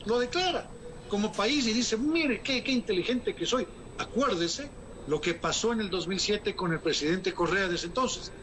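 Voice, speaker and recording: A man with a fairly high voice speaks as an economist answering an interviewer. He argues emphatically and formally, often posing rhetorical questions before answering them. Faint noise hums under the recording. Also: fairly bright tone, low-quality recording. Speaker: economist guest.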